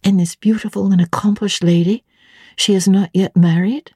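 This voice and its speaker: A woman's voice: medium pitch, fairly even intonation, normal speed, a little mellow, breathy edge, no room sound, studio quality, voice pushed up, lightly acted. A woman voicing an earnest young governess in a classic audiobook, politely inquisitive and eager with her questions. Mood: eager, probing. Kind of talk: dramatic audiobook reading of classic literature.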